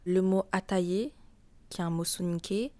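A teenage girl with a French accent answers questions in a low voice, pausing often with small hesitations. The recording is dry and close. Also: mellow, dry recording. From France. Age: teens. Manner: hesitant, many pauses with "euh". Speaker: interviewee. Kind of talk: casual interview answers.

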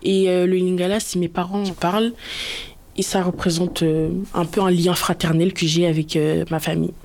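A woman's voice: fairly low pitch, little pitch movement, normal speed, darkish tone, slight background noise. A woman talking casually about herself, in an informal personal testimony.

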